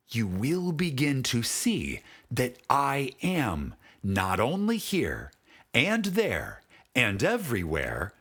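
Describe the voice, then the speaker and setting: A man narrating a spiritual audiobook in a dramatic, solemn way, measured and with long pauses, his voice husky and breathy. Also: mid-range voice, fairly bright tone.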